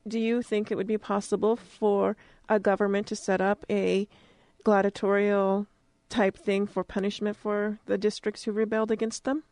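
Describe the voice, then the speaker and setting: A woman's voice: mid-range voice, a little mellow, no echo. A woman hosting a conversational radio interview, putting questions to a studio guest.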